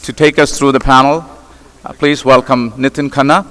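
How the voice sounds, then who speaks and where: A man's voice: mid-range voice, steady tone, moderate pace, a bit bright. A man introducing a segment from the stage as a semi-formal event announcer. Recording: reverberant conference hall.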